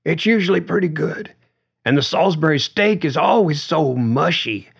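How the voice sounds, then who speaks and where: A man's voice: fairly high pitch, lively intonation, moderate pace, creaky, breathy edge, dull audio, voice pushed up, exaggerated voice. A man voicing a frail, confused, fretful old woman with a quavering voice, as character dialogue in an audiobook. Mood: querulous. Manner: fretful, disdainful.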